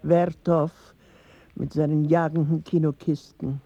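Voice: medium pitch, level tone, unhurried, mellow, dry recording, dull audio. A performer playing a sombre literary narrator in a German radio play, speaking formally.

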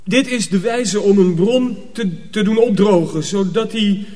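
High-voiced hesitant young apprentice. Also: male voice, a little mellow. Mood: hesitant, dutiful. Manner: hesitant, halting. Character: obedient, unsure young male sorcerer's apprentice. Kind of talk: radio drama acting. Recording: long hall reverb.

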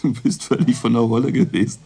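A man's voice: mid-range voice, steady tone, fast talker, creaky, mellow, close to an everyday voice. A male actor playing a young policeman on night duty in a radio play, weary and placating with a trying relative. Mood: concerned. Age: young adult. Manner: placating, exasperated.

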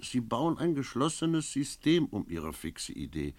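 An actor playing a cynical, brooding innkeeper in a German radio play, reflective and skeptical. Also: male voice, mid-range voice, very animated, measured pace, a little mellow, dry recording. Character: cynical male innkeeper, brooding first-person narrator.

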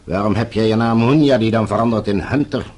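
An actor playing a calmly menacing middle-aged official in a radio play, measured, polite and condescending. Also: male voice, lowish voice, lightly acted. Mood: measured, conciliatory. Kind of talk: Dutch radio play acting.

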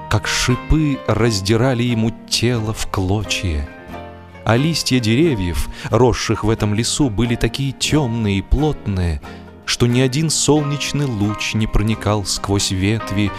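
A man reading literary prose as an audiobook narrator, in a solemn, measured storytelling manner. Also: mid-range voice. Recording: music behind the voice. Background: music.

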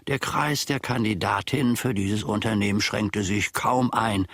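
A man's voice: on the low side, a little slow, a bit bright, no echo, clean studio sound. An older man acting a grumbling old manservant in a radio play monologue, wry and digressive, with old-fashioned formal phrasing. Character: grumbling, long-serving old manservant settling scores with his master.

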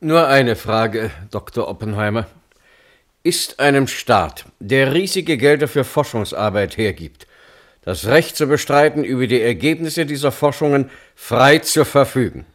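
A man's voice: medium pitch, lively intonation, a little slow, close to an everyday voice. A man acting as a sober panel member in a German radio play, putting formal, challenging questions at an inquiry.

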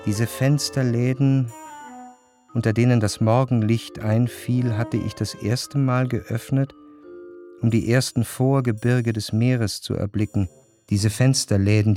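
A male actor as the reflective first-person narrator of a literary radio drama, speaking in a measured way. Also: on the low side, fairly even intonation, mellow. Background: faint music.

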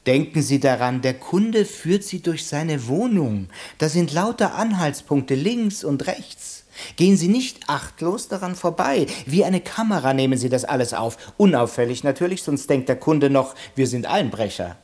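An actor playing an officious, pedantic sales trainer in a radio play, instructing in a pompous, theatrical manner. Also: male voice, fairly high pitch, animated, moderate pace, a little mellow, close to an everyday voice. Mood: strict, lecturing. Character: officious, pedantic male sales trainer drilling his pupils.

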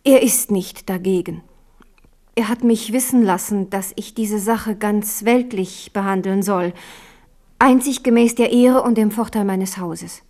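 A woman's voice: mid-range voice, measured pace, natural voice. A woman playing a grave, devout queen. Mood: hushed. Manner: grave, hushed, resolute. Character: devout, resolute queen and mother. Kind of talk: 1970s radio drama acting.

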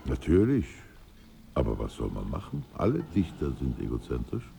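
An actor playing a proud, egocentric, nostalgic poet in a literary radio drama, speaking in a theatrical, declamatory way. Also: male voice, very deep voice, wildly swooping pitch, normal speed, creaky, warm tone, a little hiss or hum, heavily acted. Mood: brooding. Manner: theatrical, declamatory, swinging from murmur to outburst.

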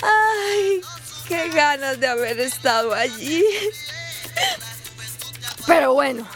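A woman's voice: high-pitched, takes it slowly. An actress playing a tender, devout mother, speaking emotionally of her faraway son. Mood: tender, wistful. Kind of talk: radio drama acting. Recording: music behind the voice.

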